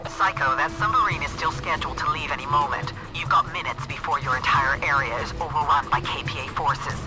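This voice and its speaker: A man's voice: a little high, brisk, lightly acted. Stern military commander acted by a man. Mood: stern, urgent. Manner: clipped, authoritative. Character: stern military commander issuing briefings and orders. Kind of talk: video game voice acting. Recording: game audio with loud music behind.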